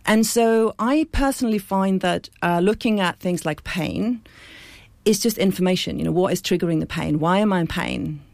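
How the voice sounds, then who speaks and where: A woman's voice: lowish voice, normal speed, creaky, a little mellow, no room sound. Earnest woman from Iceland, radio guest. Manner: thoughtful and earnest, explains with analogies. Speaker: clinic founder and guest. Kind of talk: studio interview. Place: radio studio.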